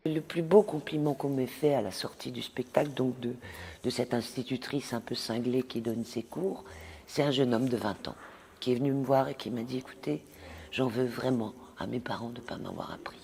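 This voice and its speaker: A woman's voice: extremely low pitch, normal speed, gravelly, dark tone. A woman from Alsace, a theatre actress, speaking passionately in an interview with some hesitations. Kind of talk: interview answers.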